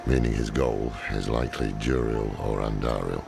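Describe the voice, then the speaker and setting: A weary, cautious mentor from a video game. His voice is extremely low and heavily gravelled, delivering lore gravely and dryly. Loud music runs behind him. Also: fairly even intonation, moderate pace, exaggerated voice. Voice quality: very deep, gravelly. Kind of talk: video game cutscene acting.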